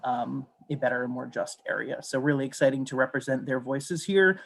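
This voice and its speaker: A man's voice: medium pitch, vocal fry. Polite young man introducing himself. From Rhode Island. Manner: polite, measured, with fillers. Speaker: youth task force director. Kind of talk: self-introduction at a video meeting.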